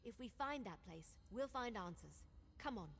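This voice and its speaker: An actress playing a keen, urgent adventurer following clues in a video game. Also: female voice, on the high side, animated, normal speed, gravelly. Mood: determined, intrigued.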